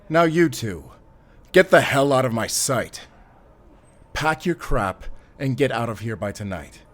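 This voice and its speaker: A man's voice: medium pitch, close to an everyday voice. A man playing a stern, commanding middle-aged father in an audio drama. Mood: furious. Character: wealthy stern father, protective of his son, commanding.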